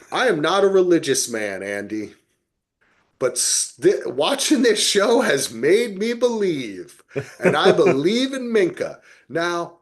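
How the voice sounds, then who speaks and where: A middle-aged man co-hosting a podcast, reviewing television casually with emphatic, playfully exaggerated storytelling. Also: fairly high pitch. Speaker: podcast co-host.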